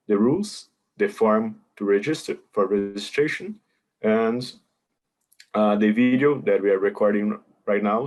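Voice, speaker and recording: A man's voice: lowish voice, darkish tone, poor audio. A man presenting a webinar, patiently explaining contest rules and answering questions over a video call. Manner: patient, explanatory, hesitant with many fillers.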